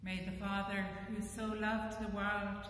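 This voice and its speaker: Slow-speaking woman cleric in an echoing church. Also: medium pitch, flat delivery, fairly bright tone. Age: middle-aged. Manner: measured, solemn recitation. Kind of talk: liturgical prayer and blessing. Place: inside a stone church, standing at the altar. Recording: echoey church, distant microphone, faint noise.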